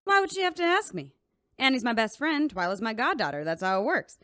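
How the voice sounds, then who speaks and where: A brusque, matter-of-fact bar owner. Her voice is high and swings widely in pitch. The sound is dry and close. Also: sing-song, brisk, a bit bright, no echo. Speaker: bartender.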